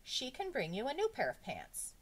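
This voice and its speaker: Woman reader as female schoolteacher, brisk, firm, exasperated, practical, raised pitch, lively intonation, storybook. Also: full character voice, low-quality recording.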